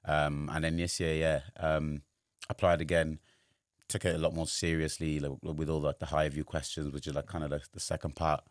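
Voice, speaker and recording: Very deep-voiced young man. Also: flat delivery, a little fast, no echo, clean studio sound. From Wales. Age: 20s. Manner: rambling, filler-heavy storytelling. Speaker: guest, sports journalist. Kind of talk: casual interview chat.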